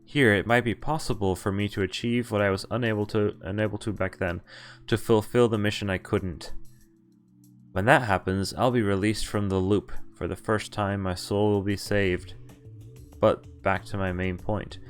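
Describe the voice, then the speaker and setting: A man's voice: fairly low pitch, fairly even intonation, moderate pace, faint music behind. A man streaming a visual novel, reading the game text aloud in a half-acted way and breaking off for wry, casual asides.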